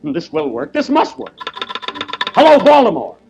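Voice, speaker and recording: A man's voice: very high-pitched, huge pitch swings, normal speed, vocal fry, a little mellow, muffled recording, a little hiss or hum, heavily acted. A man playing a fussy, pompous inventor in a 1930s radio comedy, theatrical and easily exasperated. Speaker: comedian host.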